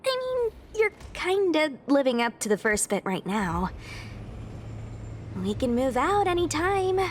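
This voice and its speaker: A woman's voice: high-pitched, big pitch swings, a little slow, slight background noise. A woman voicing a perky, teasing, bossy young adventurer in a video game. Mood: playful, confident.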